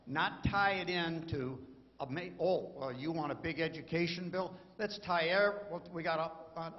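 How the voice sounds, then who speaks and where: Man, higher voice, loud and indignant audience member, dull recording with long hall reverb. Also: moderate pace. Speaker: audience member asking a question. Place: meeting hall.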